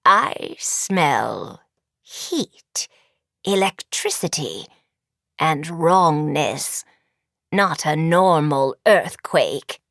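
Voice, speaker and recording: An ancient sorceress teacher from a fantasy storybook, with a dry, raspy voice. She drags her words out slowly and scornfully, stern and menacing, often dropping low in pitch. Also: sing-song, a bit bright, dry recording, full character voice. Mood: ominous.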